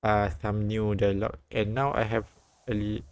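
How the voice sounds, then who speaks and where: A man streaming a live read-aloud of a visual novel, halting and repeating words as he goes. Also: lowish voice, level tone, smooth, no echo.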